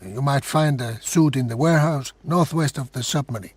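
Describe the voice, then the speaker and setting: Husky, wry male undercover informant acted out. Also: mid-range voice, normal speed, a little mellow, no echo, natural voice. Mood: wry, matter-of-fact. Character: wry, world-weary male undercover informant at military base. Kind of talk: video game voice acting.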